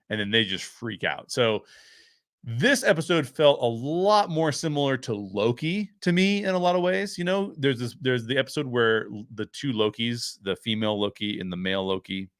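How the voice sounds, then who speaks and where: A man's voice: medium pitch, pushed and bright, dry recording, studio quality. A man giving casual solo commentary as a show host, often thinking aloud to his viewers. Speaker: host of a pop culture review show.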